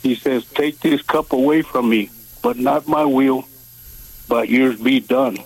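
A man in his seventies calling in to a radio show, speaking slowly and earnestly, with many pauses, to share scripture and prayer requests. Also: mid-range voice, gravelly, breathy edge, poor audio. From Texas. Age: 70s. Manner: slow, halting, earnest. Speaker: caller.

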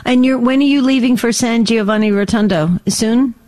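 A woman's voice: fairly high pitch, normal speed, a little mellow. A woman co-hosting a radio call-in show, chatty and affirming toward callers. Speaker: radio co-host.

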